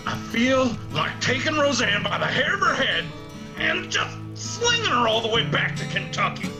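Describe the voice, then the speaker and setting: A man's voice: very high voice, huge pitch swings, moderate pace, over music, full character voice. A man playing a hot-headed young mountain brother with a Southern US accent in a radio drama. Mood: angry, impatient.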